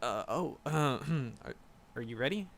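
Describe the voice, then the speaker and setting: A man's voice: mid-range voice, expressive, gravelly, noisy background. A man playing a shy, flustered magic professor in a roleplay audio drama, stammering and nervous as he talks to a secret crush. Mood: flustered, relieved. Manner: nervous, halting, stammering, rambling. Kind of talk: roleplay audio drama, intimate one-sided dialogue.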